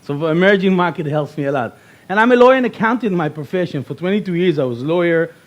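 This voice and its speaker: Middle-aged Israeli-born speaker, high voice, punchy and repetitive, workshop presenter. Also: male voice, fast talker, dull audio. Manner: animated, emphatic, repeats key phrases. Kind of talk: motivational workshop talk.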